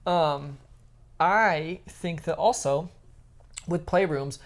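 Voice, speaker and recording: A woman's voice: deep voice, extremely slow. A woman co-hosting a podcast, chatting casually and giving opinions in an emphatic, teasing way. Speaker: podcast co-host.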